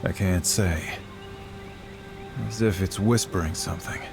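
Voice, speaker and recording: A low-voiced, brooding swordsman from a video game. He speaks slowly and wearily, with grave, clipped phrases weighed down by guilt. Music plays clearly behind him. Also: fairly even intonation. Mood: uneasy. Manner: terse, grave, weary delivery. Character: brooding, guilt-ridden swordsman man on the run. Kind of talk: dramatic video game acting.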